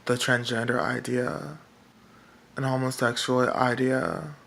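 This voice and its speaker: A man with a feminine-sounding voice, talking in a rambling vlog monologue that is halting and full of fillers and restarts. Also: mid-range voice, steady tone, gravelly, fairly bright tone. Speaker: political vlogger. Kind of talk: casual monologue to camera.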